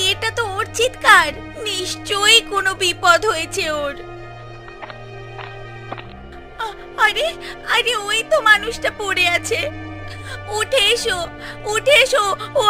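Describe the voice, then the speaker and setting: A fretful farmer's wife, played by a woman, speaks in a very high cartoon voice. She is caring but sharp-tongued and quick to chide, with music clearly audible behind her. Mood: alarmed. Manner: fretful, chiding. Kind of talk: Bengali cartoon voice acting.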